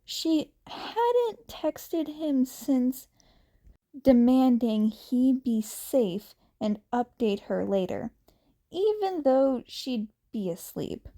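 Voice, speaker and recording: Female story reader: high, soft-toned and unhurried, with animated intonation for audiobook-style narration. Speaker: podfic reader. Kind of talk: fan fiction narration, audiobook-like.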